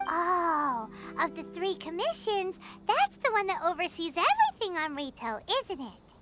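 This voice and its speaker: A woman voicing a tiny childlike companion creature in a video game, cute, curious and quick to get indignant. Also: very high voice, huge pitch swings, over music, exaggerated voice. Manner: cute, exclamatory, inquisitive. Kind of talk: video game cutscene acting.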